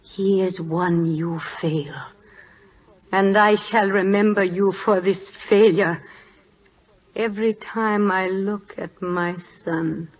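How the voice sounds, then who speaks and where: Slow, solemn royal wife. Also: female voice, medium pitch, steady tone, breathy edge. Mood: reproachful. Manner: slow, measured broken English. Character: solemn, dignified Siamese first wife of the king. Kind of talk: 1940s radio acting. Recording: dull, faintly noisy old radio recording.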